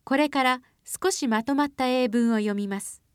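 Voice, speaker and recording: A woman announcing formal exam instructions in an even, formal manner, recorded on a close studio mic. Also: sits high, fast talker, clear, resonant tone, dry recording. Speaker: test announcer.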